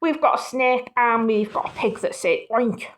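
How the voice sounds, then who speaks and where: A woman reading a children's picture book aloud in an animated way, with casual, playful, apologetic asides. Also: a little high, moderate pace, a little hiss or hum. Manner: playful, self-interrupting, apologetic.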